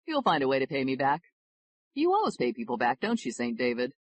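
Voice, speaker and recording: A woman's voice: a bass voice, wildly swooping pitch, fairly quick, no room sound, exaggerated voice. A woman voicing a brash, generous male defense lawyer who talks emphatically and blusters. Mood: emphatic.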